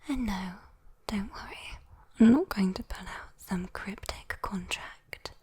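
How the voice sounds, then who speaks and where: A British woman playing a sweet, teasing, possessive female demon in a breathy, intimate ASMR roleplay whisper. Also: mid-range voice, takes it slowly, a little mellow. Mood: teasing, coaxing.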